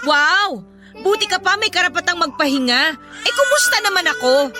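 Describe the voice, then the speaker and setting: A sleepless young mother at the end of her rope, her voice pitched very high and sharp as she scolds through tears. Soft music plays under the scene of this radio drama. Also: lively intonation, moderate pace. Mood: scolding. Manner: shrill, scolding, tearful. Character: exhausted young mother, sharp-tongued and resentful. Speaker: voice actress. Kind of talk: Tagalog radio drama acting.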